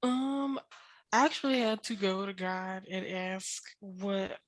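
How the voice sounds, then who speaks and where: A woman's voice: mid-range voice, slow, dry recording. A woman in her thirties giving a personal testimony as an interview guest, emphatic and full of faith. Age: 30s.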